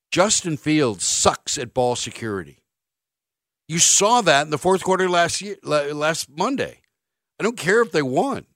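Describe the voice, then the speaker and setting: A man's voice: fairly high pitch, dry recording. A male sports radio host, ranting bluntly and sarcastically in a studio. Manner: blunt, sarcastic, animated rants. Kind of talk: opinionated sports radio monologue. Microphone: studio microphone.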